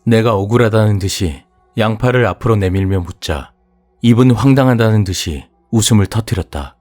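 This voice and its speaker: A man reading a horror audiobook as its narrator, telling the story in a measured, even way. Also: on the low side. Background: soft music.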